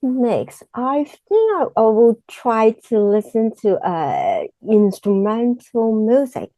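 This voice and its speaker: A woman, a native Cantonese speaker, answering in a mock speaking exam in halting English with a Cantonese accent, often stopping to search for words. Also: a little high, big pitch swings, mellow, no echo. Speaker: exam candidate.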